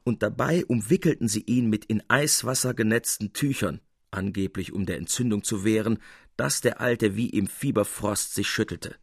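A man reading literary fiction as an audiobook narrator, in an expressive storytelling manner. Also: lowish voice, normal speed, slightly rough, dry recording, clean studio sound.

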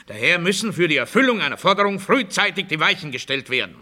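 A menacing authoritarian speechmaker for a satirical radio play. The voice is a fairly high male one with a very sharp, hard, forceful edge. He declaims with pompous self-righteousness, setting out each point as emphatic rhetoric. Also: big pitch swings, fast talker, exaggerated voice. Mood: cold, authoritative. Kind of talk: satirical radio play monologue, political oratory.

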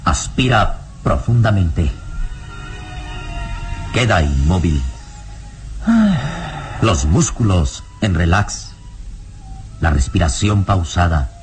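A man narrating a radio drama in a solemn, deliberate, dramatic scripted style. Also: deep voice, soft background music.

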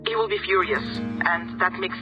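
A man's voice: high-pitched, fairly even intonation, normal speed. An actor playing a frightened, pleading man from Syria in a radio drama, heard over a filtered line. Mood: anxious, confiding. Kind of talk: naturalistic radio drama acting. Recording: muffled narrow line, loud music behind.

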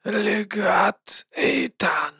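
A woman voicing a sly, scheming male warlock mercenary who talks smoothly and mockingly. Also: mid-range voice, slow, dry recording, studio quality.

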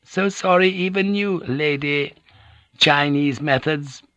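A man's voice: on the high side, slow pace, dry recording, voice pushed up. A man playing a shrewd, deferential Japanese businesswoman in an audiobook, courteous and insistent. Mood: bitter, urgent. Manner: flattering, insistent, humbly courteous.

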